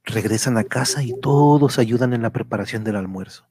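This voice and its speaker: A man with a husky voice narrating a scripted radio drama as a storyteller. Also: medium pitch, fairly quick, warm tone.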